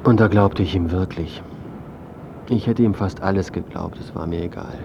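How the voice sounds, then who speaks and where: Smug art critic, low muffled voice. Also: male voice, moderate pace, vocal fry, noisy background. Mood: weary, reflective. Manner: self-important, glib, evasive. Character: smug, scheming male art critic, self-important narrator. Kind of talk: radio drama narration and dialogue.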